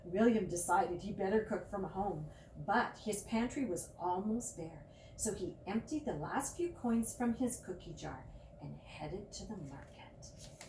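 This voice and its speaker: A woman reading a children's picture book aloud at a library storytime, with drawn-out emphasis and chatty asides. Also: mid-range voice, darkish tone. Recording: roomy, distant mic with faint noise.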